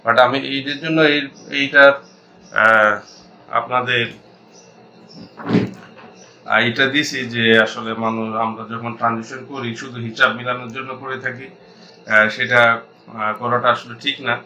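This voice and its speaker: A man with a Bangladeshi accent explaining as an accounting instructor in an informal tutorial, haltingly thinking aloud and mixing in English terms. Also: mid-range voice, steady tone, bright, forceful tone, rough recording.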